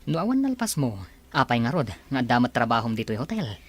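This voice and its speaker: A man's voice: mid-range voice, sing-song, fairly quick. An actor playing a confident, courteous businessman courting a woman in a radio drama, earnest and assured. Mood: persuasive. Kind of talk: Ilocano radio drama acting.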